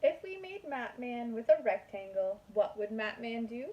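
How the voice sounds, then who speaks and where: A woman's voice: fairly high pitch, big pitch swings, moderate pace, lo-fi sound. A woman kindergarten teacher reading a picture book aloud to young children in a sing-song manner.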